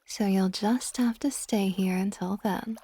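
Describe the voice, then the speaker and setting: A woman voicing an ancient, possessive but tender water dragon goddess in a soft, intimate ASMR romance roleplay, often slipping toward a whisper. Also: mid-range voice, steady tone, measured pace, husky. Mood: possessive, coaxing.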